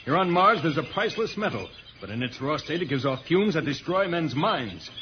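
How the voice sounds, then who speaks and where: An actor playing an earnest, protective space hero and guide, speaking gravely in old-time radio serial style. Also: male voice, a little high, big pitch swings, fairly quick. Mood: worried, serious. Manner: grave, explanatory. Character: earnest, protective male space hero guiding through jungle. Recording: muffled old radio transcription, loud noise.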